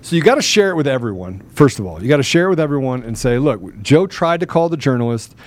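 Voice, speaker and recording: A man's voice: medium pitch, very animated, fast talker. A man hosting a live political talk show in a casual style, with a habit of repeating phrases for emphasis. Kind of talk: casual live talk, sometimes reading questions aloud.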